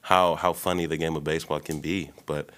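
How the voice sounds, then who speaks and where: Young male athlete, very deep voice, laid-back press-room answers, desk mic. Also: fairly quick. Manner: relaxed, many ums and you-knows. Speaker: baseball player. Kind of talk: post-game press conference. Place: press room before a logo backdrop. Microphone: desk mic on a stand.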